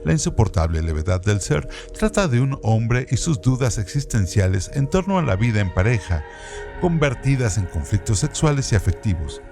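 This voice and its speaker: A man presenting a scripted trivia segment on a radio show in a clear, informative way. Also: mid-range voice, very animated, moderate pace. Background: music bed.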